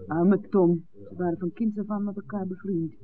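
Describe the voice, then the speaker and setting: A woman's voice: mid-range voice, fairly even intonation, moderate pace, phone-quality sound, background noise, close to an everyday voice. Actress as outspoken young village woman, thin old recording. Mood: sorrowful. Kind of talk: 1960s Dutch radio drama acting.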